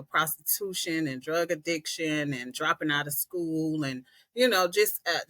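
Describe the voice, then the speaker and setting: Bright-voiced woman telling her story. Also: low voice, dry recording. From Atlanta, Georgia, US. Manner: earnest, reflective, many "um"s. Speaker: podcast guest, peer specialist. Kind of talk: candid personal storytelling.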